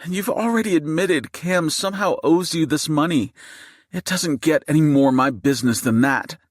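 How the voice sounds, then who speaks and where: Man voicing a fierce, high-pitched furious mother. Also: normal speed, no room sound, studio quality, natural voice. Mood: seething, defiant. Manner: seething, confrontational. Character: furious, fierce, defiant mother held at gunpoint. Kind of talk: audiobook character acting.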